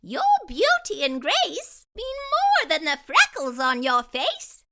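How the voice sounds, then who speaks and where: A woman's voice: high voice, huge pitch swings, takes it slowly, bright, forceful tone, voice pushed up, heavily acted. A woman voicing a graceful, kindly magic fairy in a storybook, reassuring and theatrical in a sing-song way. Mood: encouraging.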